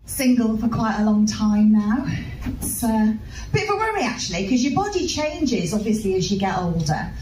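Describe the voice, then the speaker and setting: A middle-aged woman performing stand-up comedy, telling confessional stories in an animated way. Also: a little high, moderate pace, soft background music. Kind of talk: stand-up routine. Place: live comedy venue. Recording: echoey, noisy live room, distant mic.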